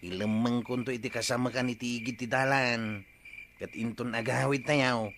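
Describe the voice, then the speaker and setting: A man's voice: medium pitch, level tone, moderate pace, a bit bright, slight background noise, lightly acted. An actor voicing a sly young man who schemes and wheedles in a comedy radio drama. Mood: instructing.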